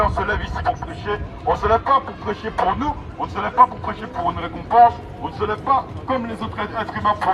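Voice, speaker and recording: A man street evangelist giving an exhorting, repetitive open-air sermon in emphatic phrases through a megaphone. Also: sits high. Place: outdoors in an urban public street. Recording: dull, distant megaphone sound, loud street noise. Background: traffic and city ambience.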